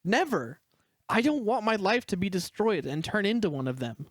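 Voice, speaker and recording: A man's voice: high-pitched, sing-song, moderate pace, dry recording, studio quality. A man playing an earnest, humble, brooding teenage brother in an amateur fan-fiction audio story. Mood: vehement, bitter.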